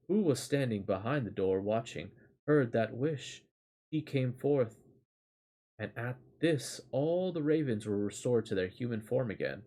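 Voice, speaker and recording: A man's voice: medium pitch, mellow. Man, mid-range voice, amateur storyteller, casual livestream delivery, loose and rambling. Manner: casual, rambling, swears lightly. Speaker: storyteller and streamer. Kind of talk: reading a fairy tale aloud, casual livestream chat.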